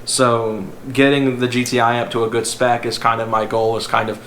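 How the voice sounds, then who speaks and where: A young man with a slightly hoarse voice, talking casually to camera about his car, rambling and filler-heavy. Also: mid-range voice, level tone, moderate pace, a bit bright. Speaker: car vlogger. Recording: echoey room with faint background noise.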